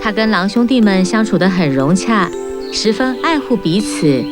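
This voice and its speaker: A woman's voice: mid-range voice, lively intonation, moderate pace. A woman reading scripted narration as the storyteller of a children's tale. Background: music.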